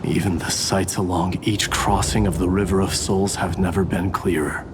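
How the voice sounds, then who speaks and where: An actor playing a proud, battle-worn god of strife in a video game, with solemn, grandiose declamation. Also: male voice, sits low, fairly even intonation, moderate pace, noisy background, close to an everyday voice. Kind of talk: English game voice acting, epic dramatic dialogue. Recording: very loud music behind the voice.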